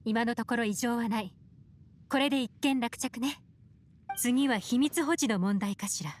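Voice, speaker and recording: A woman's voice: a little high, normal speed, lightly acted. A woman playing a cool, mysterious female agent in an anime game, poised, self-assured and teasing. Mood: sly, teasing. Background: music.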